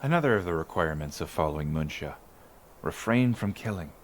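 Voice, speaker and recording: A folksy male villager acted by a man reminisces in an ASMR roleplay story. His voice sits fairly low and moves up and down with plenty of animation. He muses conversationally, like someone telling local history. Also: animated, a little fast, a little hiss or hum. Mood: animated reminiscing. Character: male island villager recalling childhood amid monsters, folksy.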